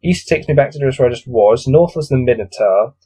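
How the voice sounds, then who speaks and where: A man's voice: mid-range voice, lively intonation, brisk, mellow, breathy edge. A man hosting a gamebook read-through, mixing read-aloud narration with casual, bored and exasperated commentary. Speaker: host reading a gamebook aloud.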